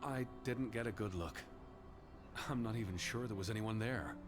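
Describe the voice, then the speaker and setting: An actor playing a worried 1920s American naturalist in a video game, speaking in an earnest, brooding, cautious way. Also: male voice, lowish voice, moderate pace, natural voice. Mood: uncertain. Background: music.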